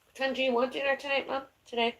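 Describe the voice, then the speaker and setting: Raspy, gravelly woman chatting on a home stream. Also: high-pitched, little pitch movement, fast, fairly bright tone. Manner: rambling, chatty, calls out to someone in another room. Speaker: vlogger. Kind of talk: casual live-stream chat. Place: home kitchen.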